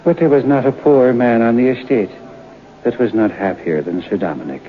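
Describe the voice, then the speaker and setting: An actor playing a knowing folk-tale storyteller, narrating an old-time radio drama in an unhurried way. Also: male voice, mid-range voice, lightly acted. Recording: muffled, hissy old radio broadcast.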